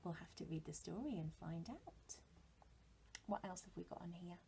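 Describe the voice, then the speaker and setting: British lady teacher chatting to little kids, really up and down, bit creaky. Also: fairly low pitch, normal speed, a little hiss or hum. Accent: British English. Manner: expressive, asks listeners rhetorical questions. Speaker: primary school teacher. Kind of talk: animated talk and storybook reading to young children.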